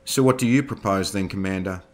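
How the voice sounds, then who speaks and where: A man's voice: fairly quick, close to an everyday voice. A man voicing a stern general presiding over a military hearing. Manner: booming, authoritative.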